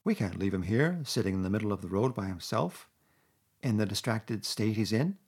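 Guy doing a nervous little mole, close to his own voice, husky and worried. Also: lowish voice, expressive, moderate pace. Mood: anxious. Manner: worried, gentle. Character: timid anxious male mole.

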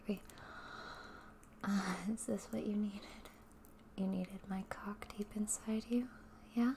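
A woman speaking straight to the listener in a soft, breathy, often whispered voice, dominant and teasing in an intimate audio roleplay. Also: medium pitch, background noise.

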